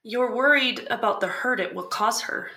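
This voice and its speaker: Woman acting a bubbly host, high lively voice, bright, inquisitive. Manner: inquisitive, bubbly, quick questions. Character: curious, playful young woman show host. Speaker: podcast host. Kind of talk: scripted podcast drama dialogue.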